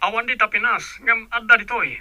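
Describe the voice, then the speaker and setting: High, piercing-voiced remorseful prisoner. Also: male voice, a little fast, bright, forceful tone, dry recording, heavily acted. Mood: regretful. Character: remorseful man jailed abroad, awaiting execution, phoning an old love. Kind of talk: Ilocano radio drama acting.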